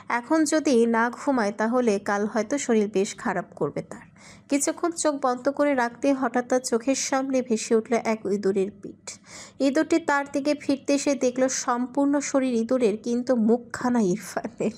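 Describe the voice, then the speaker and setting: A woman's voice: a little high, a little mellow. A woman reading scripted narration for a romantic audio story.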